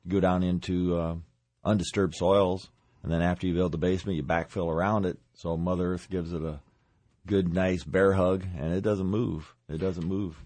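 A man's voice: low-pitched, fairly even intonation, normal speed, a little mellow, no room sound. A man speaking as a trade expert guest, explaining informally in a radio interview. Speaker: guest, basement builder.

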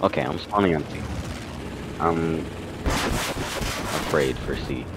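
Really low voiced guy on game voice chat, loud gunfire and engine noise behind. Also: takes it slowly. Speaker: gamer in team voice chat. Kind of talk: casual gaming chat. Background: game gunfire and vehicles.

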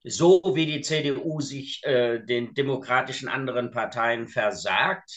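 A man in his seventies co-hosting a casual political chat over a video call, rambling and halting with many fillers. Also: medium pitch, fairly bright tone. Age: 70s. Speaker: co-host. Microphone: video call webcam.

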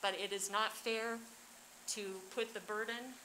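Emphatic woman speaking over clear background noise. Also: on the high side, steady tone, measured pace. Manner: emphatic, declarative short sentences. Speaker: teacher addressing a school board. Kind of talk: public comment speech. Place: large indoor board meeting chamber. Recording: noisy meeting room microphone.

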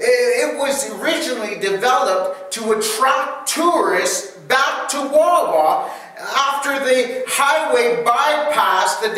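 A middle-aged man preaching a sermon as pastor, earnest and halting with restarts, recorded by a far camera in a dim church hall. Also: sits high, sharp tone. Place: dim church hall before a projection screen. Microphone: far camera, no close mic.

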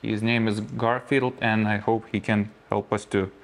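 A man's voice: lowish voice, level tone, moderate pace. A man in his thirties with a Lithuanian accent, a production manager explaining data with many hesitant fillers. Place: factory office, indoors.

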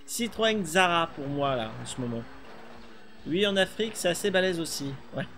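A man's voice: on the high side, expressive, moderate pace, fairly bright tone, a little hiss or hum. A middle-aged man streaming a rally game from a small room, chatting casually and thinking aloud with many fillers. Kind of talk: live gameplay chat.

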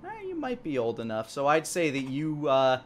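A man in his thirties improvising narration as dungeon master of a tabletop game, in a halting, conversational way. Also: on the high side, wildly swooping pitch.

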